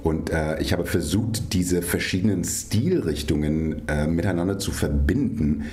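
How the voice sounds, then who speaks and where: A man's voice: deep voice, measured pace, a little mellow. A man, a conductor and composer, speaking enthusiastically but with slight hesitations in an interview. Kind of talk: interview answer.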